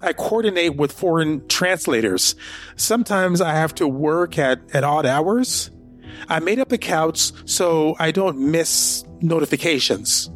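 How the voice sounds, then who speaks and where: A man's voice: a little high, animated, a little slow, soft background music. An actor narrating as a nervous man hiding his sick brother, hesitant and anxious in his dialogue. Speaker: first-person narrator. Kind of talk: audio drama narration.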